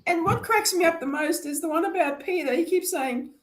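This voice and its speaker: A woman's voice: very high-pitched, brisk. A woman in her sixties hosting a casual live chat over a video call, teasing and laughing often. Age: 60s. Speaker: host of a live crochet chat stream.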